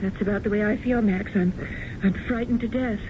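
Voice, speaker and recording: A woman's voice: medium pitch, fairly even intonation, brisk, noisy background, close to an everyday voice. An actress playing a frightened stage actress in her forties in a 1970s radio drama, anxious and pleading. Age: 40s. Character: frightened celebrated actress of forty. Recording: muffled old radio broadcast with music.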